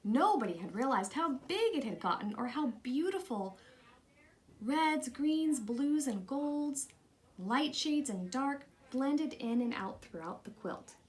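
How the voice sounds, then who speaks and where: Higher-voiced woman reading a children's story. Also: animated, slow pace, darkish tone. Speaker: storybook reader. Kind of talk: read-aloud children's story narration.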